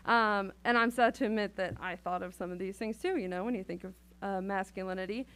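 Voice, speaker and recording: A woman on a city council giving a prepared, earnest speech, speaking directly and deliberately to the room. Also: medium pitch, a bit bright, clean tone. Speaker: council member.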